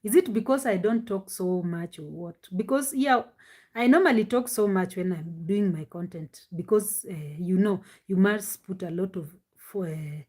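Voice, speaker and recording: Livestream host: a woman with a deep voice who pushes it loud and strained, chatting casually, no external mic. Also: normal speed. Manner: talks loudly and with strain. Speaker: live-stream reaction host. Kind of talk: casual live-stream chat with viewers. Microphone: no external microphone, device mic.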